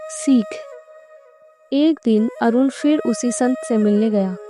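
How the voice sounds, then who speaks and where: A woman's voice: a little high, slow, over music. A woman narrating a scripted moral story for a cartoon.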